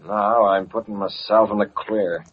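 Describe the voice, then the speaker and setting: A man's voice: fairly low pitch, fairly even intonation, unhurried, a little hiss or hum, close to an everyday voice. Actor as commanding radio-drama man, muffled old recording. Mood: cool menace. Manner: clipped, authoritative. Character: calm, commanding masked man, terse and menacing. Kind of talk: old-time radio crime drama acting.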